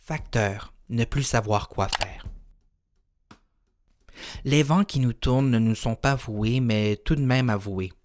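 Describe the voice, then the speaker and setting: A man reciting his own spoken-word poetry in a contemplative, literary way, in short measured phrases. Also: mid-range voice, a little mellow. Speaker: poet reciting own work.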